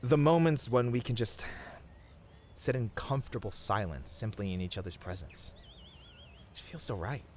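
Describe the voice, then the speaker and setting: A man playing a tender, sappy boyfriend in a romantic ASMR roleplay, speaking softly and earnestly to the listener with small hesitant stammers. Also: medium pitch, moderate pace, long pauses, a little hiss or hum, lightly acted. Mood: nervous, earnest. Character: tender, sappy boyfriend confessing love on a picnic.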